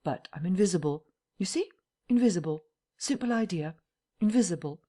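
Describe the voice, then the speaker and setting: A woman's voice: fairly low pitch, expressive, normal speed, pitched above the performer's own voice. A woman voicing a stern invisible man. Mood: insistent. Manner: quiet, clipped and insistent. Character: impatient invisible man, firm and commanding. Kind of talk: audiobook character voice.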